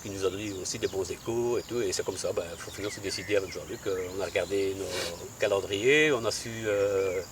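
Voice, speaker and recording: A man's voice: low-pitched, quick pace, hardly any pauses. A man with a Belgian French accent, an angler answering interview questions by a lake, hesitant with many fillers. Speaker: angler competitor. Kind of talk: casual interview. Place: outdoors by a lake. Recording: distant mic, crickets and nature noise. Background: crickets and rural ambience.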